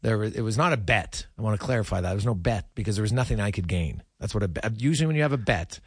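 A man's voice: lowish voice, animated, very fast, husky, no echo. Man chatting sports, dry close sound. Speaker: sports talk show host. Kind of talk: casual sports chat.

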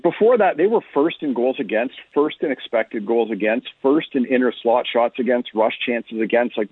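A man talks sports as a radio guest over a narrow, phone-like connection. His voice is husky and very dry, with a mid pitch. He explains things conversationally and leans on key points for emphasis. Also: no echo. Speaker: hockey analyst guest. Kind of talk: radio sports interview analysis. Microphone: remote phone-like connection. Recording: narrow phone-like line, muffled detail.

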